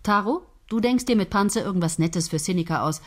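A woman's voice: medium pitch, animated, a little fast, close to an everyday voice. An actress playing a commanding, cutting female head of a writing team in a radio play. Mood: eager. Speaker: head writer of a soap team.

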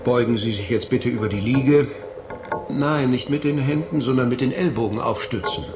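An actor plays a matter-of-fact doctor in a radio drama. His medium-pitched male voice gives clinical orders in a roomy, echoing space with noticeable noise. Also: moderate pace, natural voice. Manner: clinical, directive. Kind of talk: radio play acting.

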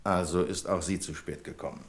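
An actor playing a pedantic, sarcastic office boss in a German radio drama, with pompous, exaggeratedly formal politeness. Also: male voice, sits low, steady tone, brisk. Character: pedantic, sarcastic male office boss, nagging about punctuality.

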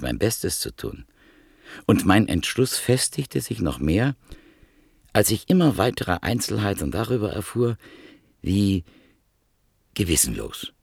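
A man narrating a radio drama in the first person, measured and polite. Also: deep voice, very animated, gravelly, breathy edge, no echo. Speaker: narrator and visitor.

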